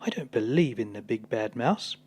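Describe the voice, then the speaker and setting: A man voicing a brave, curious young monster daughter in a storybook, with excited, wide-eyed questions. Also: medium pitch, normal speed, breathy edge. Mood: indignant.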